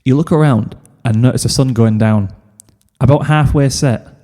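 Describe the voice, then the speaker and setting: A man's voice: mid-range voice, normal speed, dark tone, in a large hall. A man reading a scripted second-person story as narrator. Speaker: fan fiction reader, narrator.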